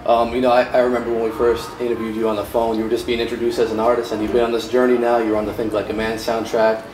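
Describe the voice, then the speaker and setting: A man's voice: mid-range voice, level tone, fast talker. A man interviewing a singer in a laid-back, casual way, often answering with "right" and "cool". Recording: echoey room, mic far off, clear background noise.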